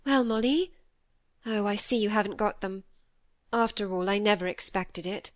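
A woman's voice: mid-range voice, normal speed, dry recording, clean studio sound, close to an everyday voice. A woman voicing a nervous, scornful young woman in an audiobook, emphatic and self-pitying. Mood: disappointed. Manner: emphatic, agitated. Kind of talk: acted audiobook dialogue.

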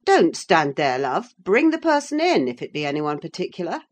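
A woman reading a story in the part of a peevish, disdainful gentleman husband, clipped and scornful. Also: sits low, very animated, normal speed. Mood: calling out. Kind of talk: dramatised audiobook reading.

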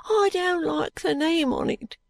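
A woman voicing a sick, frightened poor London boy in an audiobook, with a hoarse, muttering, slow drawl. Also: extremely high pitch, no echo, clean studio sound, pitched above the performer's own voice, exaggerated voice. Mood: fearful, stubborn. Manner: slow, drawling uneducated dialect. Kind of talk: audiobook character voice.

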